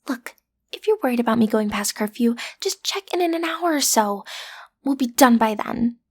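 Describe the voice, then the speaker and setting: A woman's voice: on the high side, lively intonation, normal speed, a little mellow, husky. A woman playing a cute teenage girl who is training to be a supervillain, sassy and theatrical but a little awkward, in a roleplay audio drama. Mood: flustered, bossy. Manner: theatrical, sassy, hesitant when breaking character.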